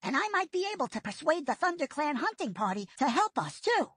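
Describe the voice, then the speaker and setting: A woman's voice: high-pitched, very animated, fairly quick, a bit bright, dry recording, studio quality, voice pushed up. A woman voicing an eager young tomcat. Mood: urgent. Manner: earnest and urgent.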